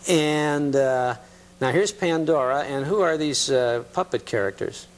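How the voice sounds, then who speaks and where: A man's voice: on the high side, unhurried, a little hiss or hum. A man hosting a television talk show, chatting casually with guests in a studio. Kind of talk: casual interview chat.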